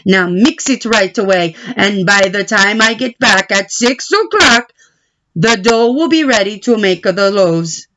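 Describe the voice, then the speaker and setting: A woman's voice: mid-range voice, animated, a little slow, fairly bright tone, highs cut off, slight background noise. A woman voicing a bossy baker father in a picture book, giving emphatic instructions. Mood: instructing. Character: bossy, nonchalant baker father, a grown man. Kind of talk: picture book read-aloud character voice.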